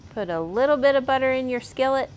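High-pitched woman giving kids' cooking instructions. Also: animated, fast, rough recording. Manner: encouraging, simple instructional phrasing. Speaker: library cooking program host for kids. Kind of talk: friendly step-by-step cooking demonstration for children.

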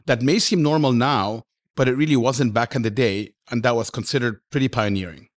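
A man's voice: medium pitch, a little fast. A man with an ordinary mid-range voice hosting an interview podcast. He reflects his guest's points back, summing them up and affirming them. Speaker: podcast host.

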